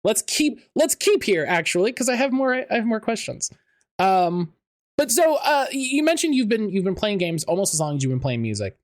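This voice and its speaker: A man hosting a casual chat interview, talking in a halting, rambling way and often interrupting himself. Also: sits high, sing-song, dry recording, clean studio sound. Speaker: podcast host.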